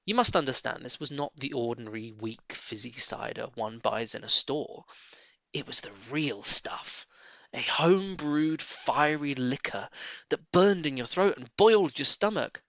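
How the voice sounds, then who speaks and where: Animated male storybook reader, creaky and bright. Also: medium pitch, measured pace, no echo, low-quality recording. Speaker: audiobook reader. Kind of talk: expressive children's storybook narration.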